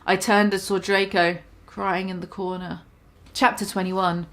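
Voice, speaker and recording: A woman's voice: mid-range voice, flat delivery, unhurried, fairly bright tone. A young woman giving a mock-dramatic reading of a fan story, swearing and breaking off to comment on the text. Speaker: reader.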